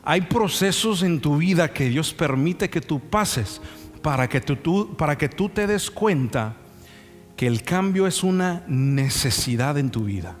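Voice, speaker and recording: A man's voice: on the high side, expressive, unhurried. Young man preaching in a reverberant hall. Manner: conversational, checks in with rhetorical questions. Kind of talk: sermon with anecdotes. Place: church sanctuary. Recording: reverberant hall sound, music underneath. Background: music.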